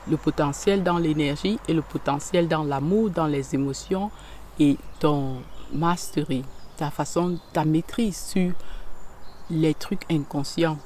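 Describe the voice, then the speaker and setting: Slow, gentle woman reading tarot cards. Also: fairly low pitch, a little hiss or hum. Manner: gentle, pauses often and searches for words. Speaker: tarot reader.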